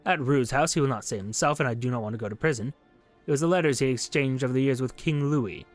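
A man's voice: mid-range voice, quick pace, faint music behind, lightly acted. A man reading as a nervous, evasive tribunal witness. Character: nervous, self-serving male witness at a revolutionary tribunal.

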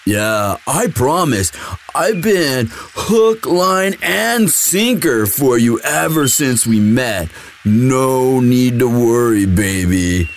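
Man acting a slow-talking, sweet himbo boyfriend. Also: medium pitch, very animated, slight background noise. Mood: affectionate. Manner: slow, dude-ish drawl full of likes and sighs. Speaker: audio roleplay performer. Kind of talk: intimate boyfriend roleplay, casual chat.

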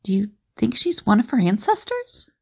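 A woman playing a sceptical, observant time-travelling companion in an audio drama, articulate and questioning, with a creaky, husky voice. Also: fairly high pitch, wildly swooping pitch, moderate pace, darkish tone, heavily acted. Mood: curious.

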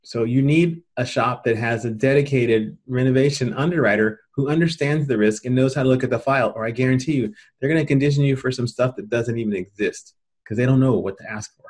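A middle-aged American man with a medium-pitched voice presents a training webinar. He explains things informally and colloquially, in a plain-spoken manner. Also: a little fast, darkish tone.

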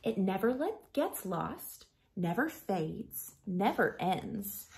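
Woman, thirties, slow pace, creaky voice, gentle storytime read-aloud for children, hushed line endings. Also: medium pitch, a little hiss or hum, poor audio. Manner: gentle, deliberate, expressive storytelling with softened, breathy line endings. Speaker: elementary school teacher reading to children. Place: small living room at home.

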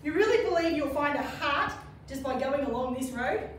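A woman's voice: sits high, expressive, normal speed. A woman playing a blunt, sarcastic Dorothy in an amateur pantomime. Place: community room with seated audience, far camera. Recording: distant, echoey, noisy hall recording with crowd.

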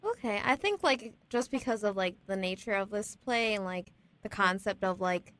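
A woman's voice: medium pitch, lively intonation, moderate pace, a bit bright. A woman interviewing casually for a radio show, with tentative, chatty phrasing full of little hedging words. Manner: tentative phrasing, says "like" often.

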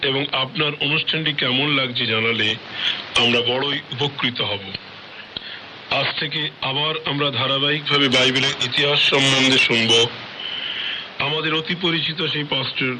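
A man's voice: mid-range voice, steady tone, moderate pace, long pauses. Man on very noisy shortwave radio. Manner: formal, courteous address to listeners. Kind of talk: scripted radio announcing. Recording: noisy shortwave radio broadcast with heavy static. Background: loud radio hiss and noise.

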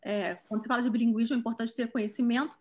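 Female host, high voice, halting delivery with restarts, laptop mic on a video call. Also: steady tone, a little mellow. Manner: hesitant, many restarts and fillers. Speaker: event host and moderator. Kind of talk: moderating a community video call.

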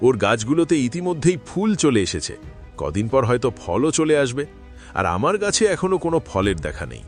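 An actor playing a jealous, scheming village lemon farmer in a cartoon. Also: male voice, mid-range voice, fairly quick, soft background music. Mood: worried. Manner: lively, scheming, grumbling. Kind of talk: cartoon voice acting.

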